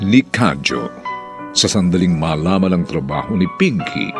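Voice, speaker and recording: A man's voice: sits low, animated, normal speed. A man announcing a Filipino radio drama with theatrical, scripted narration. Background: music.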